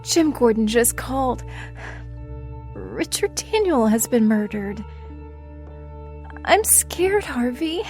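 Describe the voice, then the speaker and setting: A woman acts a devoted young wife in an audio drama. Her fairly high voice is soft and lingers slowly over words, with faint music beneath. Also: big pitch swings. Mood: frightened. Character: anxious, devoted, affectionate young wife of a lawyer. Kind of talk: fan audio drama acting. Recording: music under the voice.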